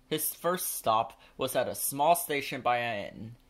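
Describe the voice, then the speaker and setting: A man's voice: mid-range voice, lively intonation, a little slow. Man reading a children's storybook aloud. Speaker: children's book narrator.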